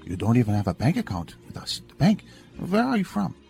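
A man's voice: medium pitch, wildly swooping pitch, a little fast, vocal fry, darkish tone, faint music behind, pitched above the performer's own voice. A man voicing a friendly young German immigrant woman who speaks slightly broken English with a German accent in a story. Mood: puzzled. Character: young German immigrant woman, friendly, startled, church-going bank teller.

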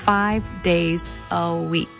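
A woman narrates a ministry retrospective in a low, gravelly voice, pacing herself evenly as she introduces each speaker. Music plays steadily underneath her. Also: fairly even intonation, very slow. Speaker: program narrator. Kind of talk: scripted documentary narration. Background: music bed.

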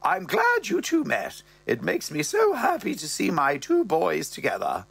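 Male reader doing the posh boozy old-dear mother: high, swooping, husky, imperious drawl. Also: wildly swooping pitch, fairly bright tone, no echo, exaggerated voice. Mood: haughty, droll. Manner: imperious, drawn-out, scandalised. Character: posh elderly mother, haughty, tipsy, blunt.

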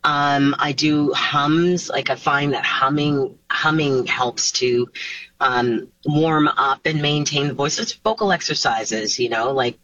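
A woman, a singer taking part in an interview over a video call, answering casually with animated pitch swoops and many restarts. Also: slow pace, dry recording. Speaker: singer, guest. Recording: dull, dry video call audio.

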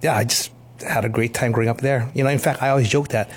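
A man's voice: medium pitch, steady tone, fast talker, breathy edge. A man in his fifties from Hawaii, joking in a laid-back way during a casual interview.

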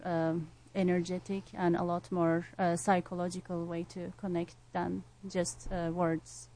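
A woman in her thirties from Turkey, a flutist speaking as a guest in a relaxed interview, pausing often with fillers as she explains. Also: lowish voice. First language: Turkish. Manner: thoughtful, uses many fillers. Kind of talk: conversational interview.

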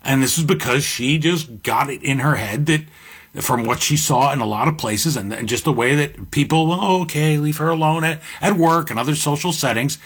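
A middle-aged man with a deep voice, hosting a podcast and musing in a conversational monologue with asides and restarts. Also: fairly bright tone, breathy edge. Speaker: podcast host. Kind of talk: reflective monologue.

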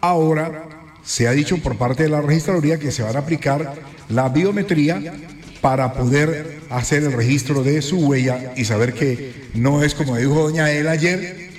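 A man hosting a morning radio show, chatting and giving listeners advice in a playful, animated way. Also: on the high side, moderate pace, echoey room, soft background music. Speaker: radio host.

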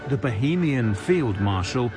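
A man filing a scripted radio news report as a foreign correspondent, in a steady, measured delivery. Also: mid-range voice, loud music behind the voice. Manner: steady, paraphrasing interviewees.

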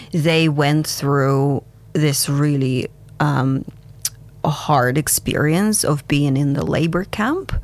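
Guest: woman, deep voice, slow and thoughtful, reminiscing with many pauses. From Kazakhstan and Russia. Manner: reflective, unhurried, with many fillers and restarts. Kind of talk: conversational interview answers.

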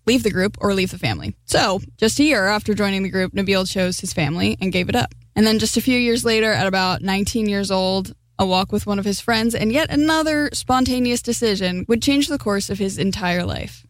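A woman reporter reading scripted narration for a radio news feature, in a smooth, measured way. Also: mid-range voice, expressive, dry recording, poor audio.

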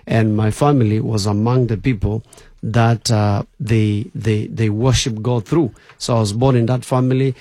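A man's voice: lowish voice, steady tone, moderate pace, warm tone, dry recording. A man from Kenya, a bishop guest on a radio show, telling his life story with many fillers and restarts.

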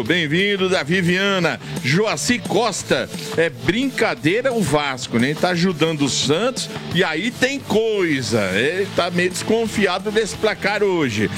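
A Brazilian man co-presenting a sports radio show, reading out listener messages in an informal, bantering way. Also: high voice. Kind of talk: casual sports radio chat.

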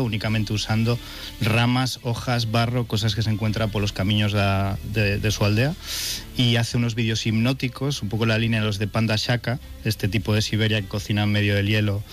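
A man co-hosting a radio show speaks in a low, fairly level voice. He introduces the guest and asks questions in long, enthusiastic sentences. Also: flat delivery, fairly quick, soft background music. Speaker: radio co-host. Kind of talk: radio interview.

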